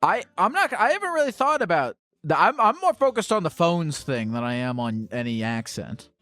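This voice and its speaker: A man hosting a call-in show, teasing his caller with profane, quick-fire banter. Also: a little high, very animated, sharp tone, no echo, soft background music. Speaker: host.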